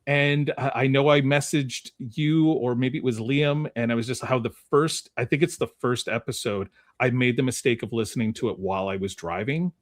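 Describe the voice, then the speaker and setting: A man's voice: mid-range voice, moderate pace, hardly any pauses. A man hosting a podcast in a casual, conversational style. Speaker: podcast host.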